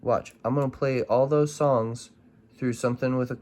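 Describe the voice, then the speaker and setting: A man's voice: medium pitch, steady tone, moderate pace. A man giving a casual, unscripted gadget review, informal and hesitant as he thinks aloud. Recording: music in the background.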